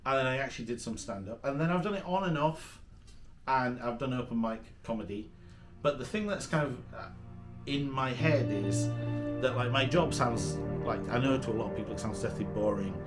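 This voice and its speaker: A man's voice: mid-range voice, fairly quick, over music. A man with a Yorkshire accent, a comedian and project manager talking casually as an interview guest, rambling with fillers and self-deprecating asides. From England.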